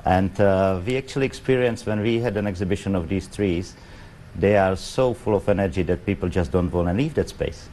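A middle-aged man with a Czech accent, a guest in a TV studio interview, speaking halting English with many fillers. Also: low voice, mellow, a little hiss or hum. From Czech Republic. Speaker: pavilion guest. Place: TV studio set.

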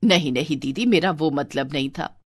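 A woman voicing a gentle, meek middle-aged mother in a cartoon story, soft and placating. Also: low-pitched, normal speed, no room sound, clean studio sound, voice pushed down.

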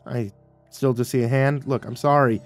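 A man's voice: medium pitch, moderate pace, soft background music, lightly acted. A man voicing an exasperated, sarcastic, foul-mouthed man who is easily flustered, in blunt, slangy audiobook dialogue. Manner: blunt, slangy, excitable, stammering when flustered.

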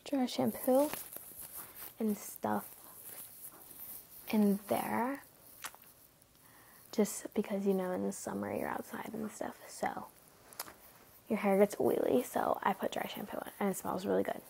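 A teenage girl vlogging from her bedroom at night, rambling casually with lots of fillers, often hushed, her nose stuffy from a cold. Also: medium pitch, fairly even intonation, measured pace, vocal fry, pauses often, slight background noise, low-quality recording. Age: teens. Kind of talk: casual late-night chat to camera. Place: bedroom at night, sitting on bed.

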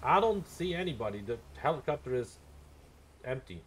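A man's voice: medium pitch, big pitch swings, moderate pace, slight background noise. A man streaming a roleplay game as a helicopter paramedic, chatting casually in blunt, sweary radio talk with codes.

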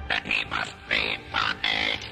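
A cold, domineering alien machine in a science-fiction audio drama. The voice is extremely deep and crackles with a grating electronic edge. It speaks in slow, flat commands over clear background music. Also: male voice, full character voice. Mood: cold, commanding. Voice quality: croaking, grating mechanical monotone. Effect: electronic robot voice modulation.

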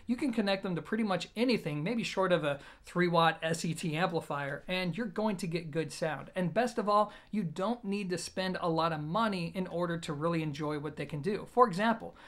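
A man's voice: high voice, brisk, hardly any pauses, a bit bright. A man, giving a conversational, emphatic product review to camera in an explanatory, informal way. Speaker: audio gear reviewer and host. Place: small indoor room, plain wall.

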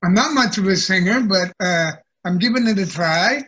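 An older man in a home room, talking haltingly on a video call with many restarts. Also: sits high, lively intonation, fairly bright tone. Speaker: program participant. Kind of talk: casual video-call chat.

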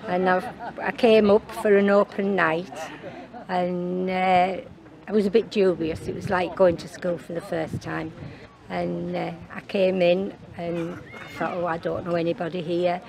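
A woman's voice: lowish voice, level tone, unhurried, creaky, crowd noise, poor audio. A woman in her seventies speaking outdoors in an interview, chatty and plain. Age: 70s. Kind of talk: interview talk. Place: outdoors on a bowling green.

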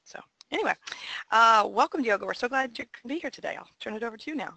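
A woman's voice: fairly high pitch, lively intonation, quick pace, vocal fry, sharp tone, no room sound, low-quality recording. A woman hosting a webinar, giving an organized introduction with practical instructions as coordinator. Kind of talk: semi-scripted webinar introduction.

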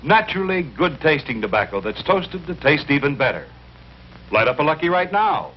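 A man's voice: on the high side, lively intonation, normal speed. An American man reading a scripted 1950s commercial voice-over with an upbeat salesman's delivery. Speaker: commercial announcer. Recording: dull, hissy 1950s film soundtrack.

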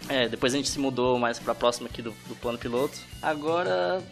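A Brazilian man with a Northeastern accent from Ceará talks as a podcast guest at a middle pitch. He sounds laid-back and self-deprecating, laughing easily and restarting his sentences as he goes. Faint music sits under his voice. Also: fairly quick, creaky. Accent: Brazilian Portuguese, Northeastern-influenced from Ceará. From Brazil, born in Fortaleza, raised in Brasília. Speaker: guest illustrator. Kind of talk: casual podcast chat.

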